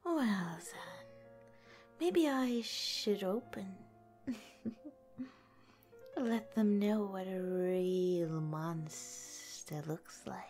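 A woman playing a gentle, teasing lamia girlfriend in an ASMR roleplay, speaking softly and breathily, often in a whisper. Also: mid-range voice, very slow, pauses often, faint music behind. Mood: playful teasing.

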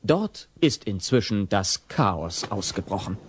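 A man's voice: lowish voice, very animated, takes it slowly, husky, no echo. A man giving scripted narration for an audio play.

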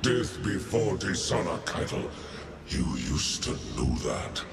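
An actor voicing a huge, brutal alien warlord, slow and mocking, with a deep gravelly growl, in a game cutscene. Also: male voice, big pitch swings, exaggerated voice. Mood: scornful. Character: brutal war-god alien warlord, male, huge, menacing. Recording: echoey game cutscene with loud music.

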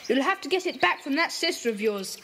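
A woman voicing a brisk, commanding boy who leads a children's secret club in an audio drama. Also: high voice, animated, noisy background.

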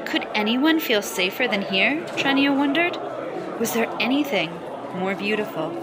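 A woman reading a children's picture book aloud in an expressive, dramatic storytelling style. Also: a little high, normal speed. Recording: loud music and crowd sounds underneath. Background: music and crowd noise.

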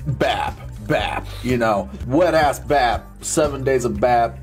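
A man's voice: medium pitch, slow. A man giving a joking mock lecture on a group stream, crude and giggly. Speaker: streamer giving a joke slideshow talk. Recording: music playing behind.

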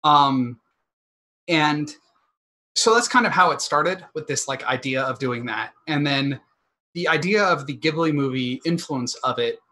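A woman's voice: sits low, expressive, unhurried, pushed and bright. A woman from Montreal, a game designer, answering interview questions over a video call with dry understatement. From Montreal, Canada. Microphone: headset on video call.

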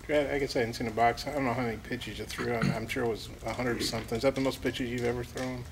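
A man's voice: medium pitch, fairly even intonation, quick pace. A man working as a sports reporter, asking informal questions at a press conference. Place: indoor press conference room. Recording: noisy room, off-camera questioner.

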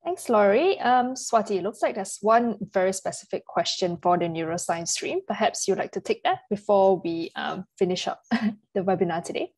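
A woman moderating a webinar, brisk and polite as she passes questions to the panel. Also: mid-range voice, animated, a little mellow, dry recording.

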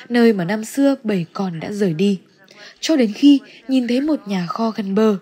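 A woman's voice: medium pitch, warm tone, breathy edge. A woman reads a scripted story recap as narrator. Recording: music under the voice. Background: background music.

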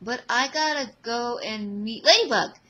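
A woman's voice: fairly high pitch, unhurried, bright, forceful tone, pitched above the performer's own voice. A woman voicing an awkward, sheepish teenage boy in a fan audio drama, hesitant and stammering. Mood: flustered.

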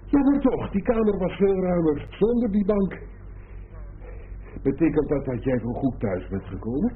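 A man's voice: high voice, sing-song, normal speed. An actor playing a wry, joking, world-weary middle-aged father in a radio play, droll and full of muttered interjections. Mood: grumbling, wry. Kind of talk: Dutch radio play acting. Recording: tinny, hissy old radio recording.

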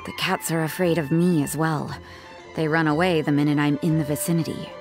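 A woman's voice: low voice, steady tone, a little fast, over music. A woman voicing an aloof young nun who speaks in a cool, dry, sardonic way. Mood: flat. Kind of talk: video game voice acting.

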